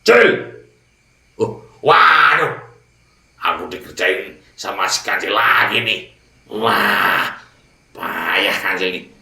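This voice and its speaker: A man's voice: lowish voice, animated, takes it slowly, gravelly, pauses often, sharp tone, echoey room, far from the mic, full character voice. A man voicing a hungry, gullible, angry tiger in a children's fable, blustering and threatening. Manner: blustering, threatening, easily fooled.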